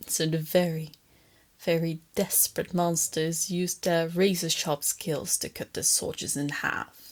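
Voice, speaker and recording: A woman voicing a female lamia captor in an intimate ASMR roleplay, soft and often whispery, teasing and coaxing, with condescending sweetness and a quiet menace. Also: low voice, fairly even intonation, measured pace. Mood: storytelling, soft menace.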